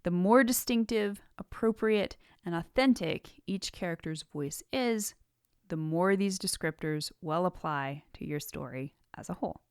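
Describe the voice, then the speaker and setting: A woman with a slightly low voice delivers scripted instructional narration as a podcast host. Her phrasing is articulate and measured, leaning on key words. The sound is dry, with almost no room around it. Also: smooth, dry recording. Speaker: podcast host and writing teacher.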